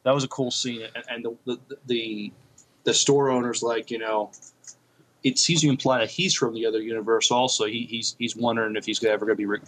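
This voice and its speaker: A man's voice: medium pitch, level tone, brisk, a bit bright, poor audio. A man co-hosting a podcast, chatting casually about television and often hesitating as he searches for words. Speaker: podcast co-host. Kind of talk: casual TV review chat.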